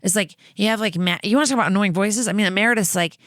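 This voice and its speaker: A woman's voice: medium pitch, rapid-fire, fairly bright tone, no echo. A woman co-hosting a podcast, talking in a chatty, gushing way and swearing casually into a close mic. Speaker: podcast co-host.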